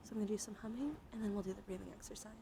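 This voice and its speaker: A woman live streamer chatting casually in an ASMR style, soft-spoken and often slipping into breathy whispers, close to the microphone. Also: medium pitch, steady tone, rapid-fire, background noise. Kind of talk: casual ASMR livestream chat.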